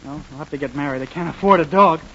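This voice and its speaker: A man's voice: fairly high pitch, quick pace, natural voice. A man playing an eager, polite, hapless young man in love in a 1940s radio sitcom. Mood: hesitant, musing. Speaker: comedian, star of the show. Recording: muffled, noisy 1940s radio, studio audience.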